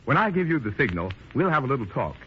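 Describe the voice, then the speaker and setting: A man's voice: mid-range voice, sing-song, fast, lo-fi sound, a little hiss or hum. A man presenting on 1930s radio as announcer and host, with a formal showman's delivery, on an old band-limited recording.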